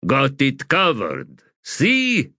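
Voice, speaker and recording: A man's voice: medium pitch, wildly swooping pitch, drawn-out words, bright, forceful tone, no echo, clean studio sound, voice pushed up, full character voice. A man voicing a babbling, callous soldier shaman in an audiobook, with folksy bad grammar. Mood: chatty, unbothered. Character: slovenly, chatty male soldier shaman, cheerfully callous.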